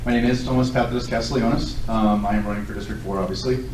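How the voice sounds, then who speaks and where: A man's voice: medium pitch, fairly even intonation, fast, darkish tone. A man in his forties from Southern California, speaking as a candidate at a public forum in an earnest, self-assured way. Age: 40s. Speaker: political candidate. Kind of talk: candidate forum speech and answers. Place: large echoey hall at a public event. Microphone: recorded from a distance by a tablet. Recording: echoey hall, distant mic, faint noise.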